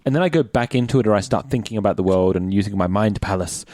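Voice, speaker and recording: A man's voice: lowish voice, quick pace, darkish tone, dry recording. An Australian man hosting a casual podcast chat, talking in an informal, stop-start way. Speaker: podcast host and actor.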